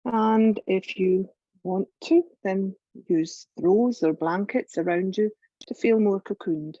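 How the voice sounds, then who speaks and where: A woman leading a guided meditation as a yoga teacher, calm and measured, giving gentle instructions with long pauses. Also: mid-range voice, no room sound, highs cut off.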